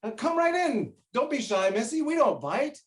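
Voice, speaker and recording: A man's voice: extremely high pitch, sing-song, moderate pace, roomy sound, far from the mic. An actor in a stage play playing a chatty, easily sidetracked Alaskan roadhouse tour guide who heartily herds his customers along. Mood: hearty, beckoning. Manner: rambling, easily sidetracked, hearty when calling folks.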